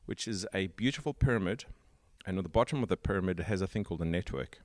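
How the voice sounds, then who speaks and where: A South African man hosts a casual tech podcast chat. His voice sits very low, and he takes his time explaining with fillers. The sound is dry and close, with no room around it. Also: lively intonation, a little mellow, no echo. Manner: explains at length with pauses and fillers. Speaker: podcast host.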